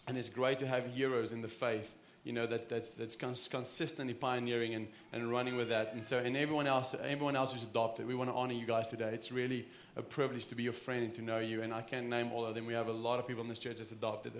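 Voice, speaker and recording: A man's voice: medium pitch, level tone, fast, hardly any pauses, roomy sound, slight background noise. A man in his thirties with a South African accent, preaching an earnest, conversational sermon with frequent restarts. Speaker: pastor. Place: church sanctuary.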